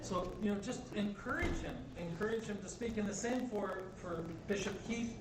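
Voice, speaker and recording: A middle-aged bishop with a high voice speaks at a conference, switching between his learned Spanish and his native English. He tells stories in a jovial way and keeps asking his listeners "right?". He is heard from a distance in an echoey hall, with steady noise underneath. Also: normal speed. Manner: jovial storyteller, asks "right?" often.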